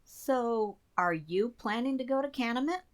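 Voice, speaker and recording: Lady playing an earnest code breaker assistant in a radio play, chatty, voice a bit high. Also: animated, a little slow. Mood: musing. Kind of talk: community theater radio drama dialogue.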